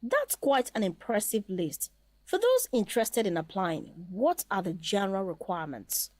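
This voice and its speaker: A woman's voice: medium pitch, sing-song, normal speed, dry recording. A woman hosting a scripted promotional interview in a polite, formal way.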